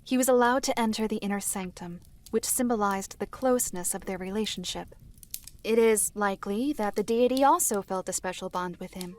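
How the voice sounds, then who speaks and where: A woman playing a solemn mystical seer who narrates a fantasy drama in a measured, formal way. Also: medium pitch, a little mellow, natural voice. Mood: knowing. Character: solemn mystical female seer recounting past tragedies.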